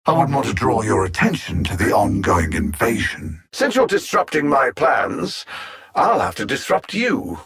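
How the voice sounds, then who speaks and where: An actor playing a condescending, coldly calculating alien supervillain in a video game, speaking with haughty, deliberate precision and theatrical mockery. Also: male voice, mid-range voice, sing-song, gravelly, a bit bright, breathy edge, heavily acted. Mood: cold disdain. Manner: haughty, mocking, deliberate and precise, with grand dramatic swoops.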